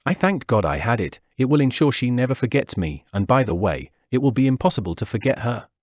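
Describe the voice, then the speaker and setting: A man's voice: mid-range voice, big pitch swings, fairly quick, darkish tone, dry recording. A man, reading a wistful first-person memoir. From Peru. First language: Spanish. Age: 40s. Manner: wistful, reflective. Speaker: narrator. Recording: dull dry lo-fi recording.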